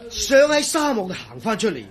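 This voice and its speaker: A man's voice: high-pitched, huge pitch swings, a little slow, exaggerated voice. An actor playing a jovial, boastful hard-drinking engineer in a Cantonese radio drama, often laughing as he talks. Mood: boastful, merry. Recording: crowd chatter behind voice.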